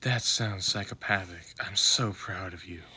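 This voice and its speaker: A man voicing a tired, grumpy father in a flat monotone, muttering dry sarcasm for an audio fan drama. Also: deep voice, unhurried, slightly rough, pitched below the performer's own voice, full character voice. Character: deadpan, tired, grumpy dark-haired father.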